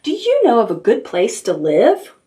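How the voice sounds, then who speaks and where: A woman's voice: fairly high pitch, huge pitch swings, normal speed, mellow, slight background noise. A woman voicing a polite, hopeful male blue rabbit in a children's storybook, with courteous, simple speech.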